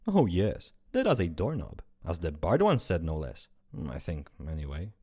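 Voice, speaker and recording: A man's voice: deep voice, huge pitch swings, normal speed, warm tone, husky, heavily acted. A man playing a breezy, condescending afterlife closure officer in an amateur radio drama. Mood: patronizing. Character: fussy, glib male afterlife closure officer.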